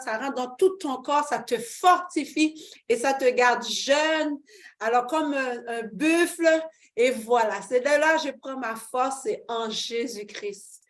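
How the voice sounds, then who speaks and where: A middle-aged woman, giving a fervent personal faith testimony as an interview guest, with many fillers. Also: high voice. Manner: fervent, expressive, with frequent fillers and laughs.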